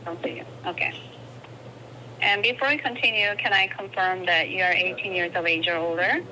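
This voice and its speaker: Lady doing a phone survey, bright voice, polite but keeps repeating questions, on speakerphone w/ a bit of noise. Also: fairly low pitch, fairly even intonation, moderate pace. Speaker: telephone survey interviewer. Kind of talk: scripted phone survey with hesitant ad-libs. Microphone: phone call picked up by a camera in a garage. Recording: noisy phone call over speakerphone.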